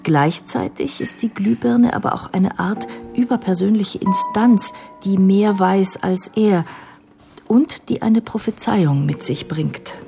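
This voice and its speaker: An actress playing a composed female care professional in a radio drama, explaining a patient's case in a measured, analytical way. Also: medium pitch, creaky, warm tone, faint music behind. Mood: calm, matter-of-fact.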